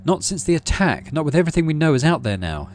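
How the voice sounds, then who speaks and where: A man's voice: on the high side, very animated, fast talker, a little hiss or hum, pitched above the performer's own voice. A man voicing a protective mum who speaks sharply and firmly in a game. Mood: sharp, worried.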